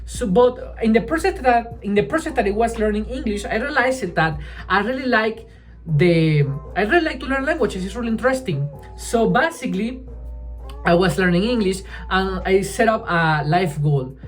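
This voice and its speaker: Spanish-speaking woman vlogging in english, mid pitch, lots of restarts and "right?", faint music under. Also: expressive. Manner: halting, restarts often, keeps adding "right?". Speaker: language-learning vlogger. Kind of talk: casual chat to camera.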